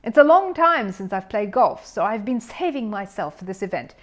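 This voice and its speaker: A woman's voice: mid-range voice, very animated, normal speed, natural voice. A woman reading a storybook as a grumpy, bossy, short-tempered male golfer with a blustering, growling manner. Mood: boastful, loud.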